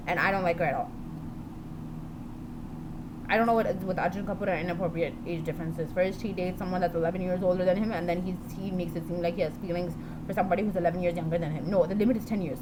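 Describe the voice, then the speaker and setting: A woman talking in an unscripted video diary, rambling and jumping from topic to topic, blunt and quick to swear. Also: on the low side. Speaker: vlogger. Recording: steady background noise with passing vehicles.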